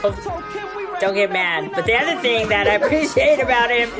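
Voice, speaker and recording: A woman co-hosting a casual studio talk show, chatty and animated, with a soft, weak voice. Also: on the high side, normal speed, loud music behind the voice, a loud crowd. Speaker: co-host.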